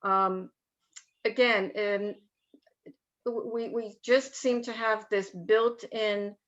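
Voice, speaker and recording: A middle-aged woman, mother of a grown daughter, sharing a story in a discussion on a video call, speaking in a measured way with fillers. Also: mid-range voice, steady tone, a bit bright. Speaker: participant. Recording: dull lo-fi call audio.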